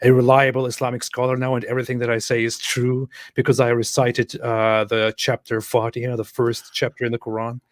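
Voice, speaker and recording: A man's voice: mid-range voice, completely flat delivery, a little fast, few pauses, dry recording. A young man co-hosting a casual chat, speaking dryly and sardonically while reading out viewer messages. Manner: dry, sardonic, reads viewer messages aloud. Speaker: co-host.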